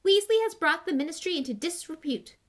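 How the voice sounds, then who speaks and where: A woman's voice: medium pitch, moderate pace, close to an everyday voice. A woman voicing a formal, pompous, indignant newspaper report in an audiobook, declaiming each statement. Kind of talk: audiobook read-out of a news report.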